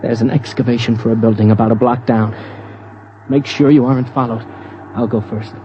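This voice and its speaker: A man's voice: mid-range voice, level tone, fairly quick, warm tone, slight background noise, natural voice. An American man playing a furtive, fearful man convinced of a conspiracy, urgent and secretive in a 1950s radio drama style. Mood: anxious, secretive. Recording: dull old-time radio recording.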